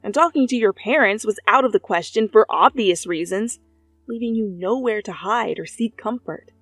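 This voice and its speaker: A woman's voice: mid-range voice, normal speed, dry recording. A woman reading scripted second-person fiction as a narrator, close to the microphone. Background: soft background music.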